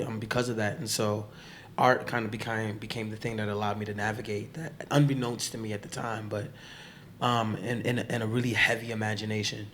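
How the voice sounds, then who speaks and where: A hoarse man talks in a relaxed, slangy way, full of fillers and asides. His voice sits low and has gone rough from overuse. A faint hiss runs underneath. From the Bronx, New York. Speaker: podcast guest. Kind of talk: casual conversation.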